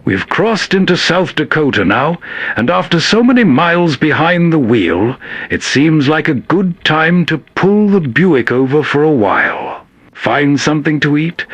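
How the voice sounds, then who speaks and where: A man with a slightly high, husky voice narrates as host at a slow, soothing pace. The delivery is calm and scripted, recorded close and dry. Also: no echo.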